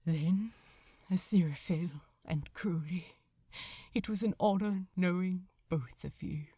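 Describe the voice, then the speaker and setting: A woman voicing a gentle, grieving male shipbuilder with a breathy, cracked voice in an audiobook. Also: on the low side, takes it slowly, no room sound, clean studio sound. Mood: grateful, tearful.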